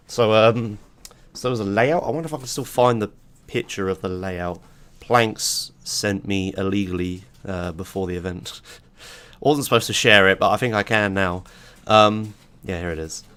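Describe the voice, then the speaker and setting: A hoarse man in casual podcast chat, his voice sitting fairly low and rough from a lingering sore throat. He rambles loosely and drops casual swears. He talks the way you do with friends, with no script. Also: moderate pace, a bit bright. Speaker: podcast host.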